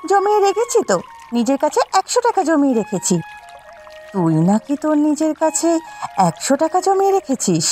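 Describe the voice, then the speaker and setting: A woman voicing a competitive, boastful young village housewife in a folk-tale cartoon, with a gossipy, emphatic delivery. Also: sits high. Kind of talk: cartoon voice acting.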